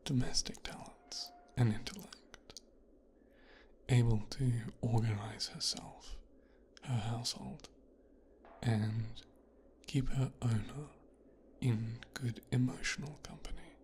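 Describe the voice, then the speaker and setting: A man playing a possessive, coldly calculating young mafia boss's son in a hushed, breathy ASMR roleplay, speaking slowly and deliberately. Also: mid-range voice, steady tone, a little hiss or hum, lightly acted. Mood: coolly appraising. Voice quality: hushed, breathy, half-whispered. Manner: slow, deliberate, musing with pauses.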